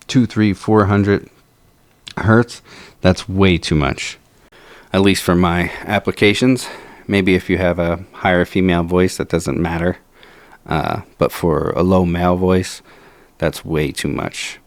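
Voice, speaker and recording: A man with a low voice talking through a microphone demo in a home studio, slow and measured with frequent fillers. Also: dry recording. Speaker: video host. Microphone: close to a studio microphone.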